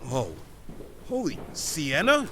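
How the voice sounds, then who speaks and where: An actor playing an easygoing, supportive young boyfriend in a comedy audio drama. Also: male voice, fairly high pitch, huge pitch swings, slow pace, sharp tone, a little hiss or hum, heavily acted.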